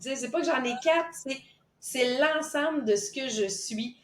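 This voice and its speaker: A woman's voice: sits high, moderate pace, slight background noise. Chatty Québécois woman host, roomy sound. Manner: enthusiastic, chatty, with many fillers and restarts. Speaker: podcast host. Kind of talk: casual podcast conversation. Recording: roomy echo.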